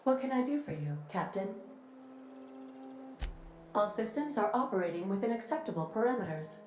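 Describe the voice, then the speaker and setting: Roomy, calm female ship computer acted by a woman. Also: mid-range voice, a little hiss or hum, natural voice. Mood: calm, formal. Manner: formal, measured, matter-of-fact. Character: calm, precise female shipboard computer of a spaceship. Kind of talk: scripted sci-fi game voice acting.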